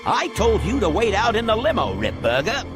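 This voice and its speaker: Feisty old male founder, game. Creaky voice, very animated, blunt, scornful, folksy. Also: high voice, moderate pace, over music, full character voice. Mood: irritated. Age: older. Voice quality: creaky, gravelly. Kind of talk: video game voice acting.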